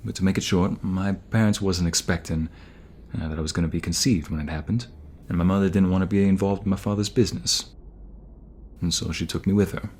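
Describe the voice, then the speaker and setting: A man's voice: low voice, fairly even intonation, fairly quick, darkish tone, a little hiss or hum, natural voice. A man playing a young romantic mobster, protective and teasing, in an intimate ASMR audio roleplay. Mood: reflective, candid.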